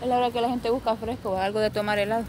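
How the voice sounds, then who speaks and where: A woman's voice: on the high side, fairly even intonation, rapid-fire. A woman from El Salvador with a Salvadoran accent, chatting casually to viewers as she films a street drink stand. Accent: Salvadoran Spanish. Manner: chatty, explains things to viewers. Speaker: vlogger filming a street vendor. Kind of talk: casual narration while filming. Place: outdoor street stall by a road. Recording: loud street traffic noise.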